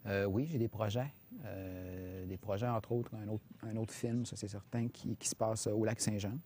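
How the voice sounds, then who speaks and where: A film director from Quebec's Saguenay region with a Québécois accent, answering interview questions in a hesitant way, full of fillers and restarts. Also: male voice, fairly low pitch, fairly even intonation, normal speed, dark tone, a little hiss or hum. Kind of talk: casual spoken interview.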